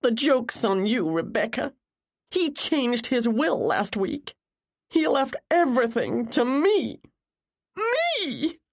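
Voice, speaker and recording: A woman's voice: on the high side, sing-song, slow pace, voice pushed up, full character voice. A woman voicing a distraught, tearful woman in an audiobook, sobbing and spitting out accusations. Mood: bitter triumph.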